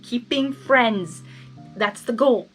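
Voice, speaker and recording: Expressive high-voiced woman reading a story. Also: slow, soft background music. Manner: expressive, animated asides. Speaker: reader hosting a fan fiction reading. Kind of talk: story narration with casual reactions.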